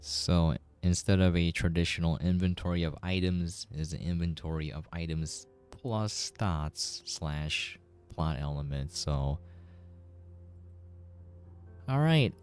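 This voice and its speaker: Low, mellow male game commentator. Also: a little slow, hardly any pauses, faint music behind. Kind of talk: casual let's-play commentary.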